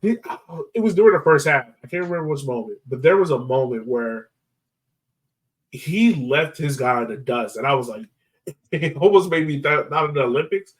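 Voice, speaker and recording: A man with a mid-pitched voice chats casually about sports as a podcast co-host. His delivery is informal and slangy, and he sounds a little distant from the microphone. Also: animated, normal speed.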